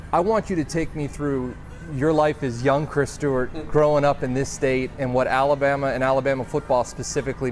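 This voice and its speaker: A man working as a sports reporter, asking conversational questions in a television interview. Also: medium pitch.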